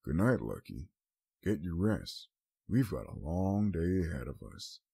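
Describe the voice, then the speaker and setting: Man playing fatherly pony traveler, caring, protective, lively pitch, husky edge, storybook character. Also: a little slow, exaggerated voice. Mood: soft, tender. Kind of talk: audiobook character voice.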